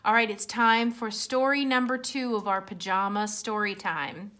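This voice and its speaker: A woman reading storybooks aloud to children as a library storyteller, expressive and speaking directly to young listeners. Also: mid-range voice, normal speed.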